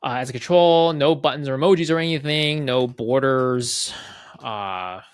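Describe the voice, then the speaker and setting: A man casually walking through a software demo off the cuff, conversational, often checking in with tag questions and voicing little exchanges. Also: mid-range voice, moderate pace, clean tone, dry recording. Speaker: guest product leader giving a software demo. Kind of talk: casual off-the-cuff product walkthrough.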